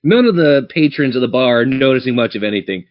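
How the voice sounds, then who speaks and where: A man's voice: medium pitch, lively intonation, fast talker, muffled recording. A man narrating a tabletop fantasy game as game master, theatrical yet conversational.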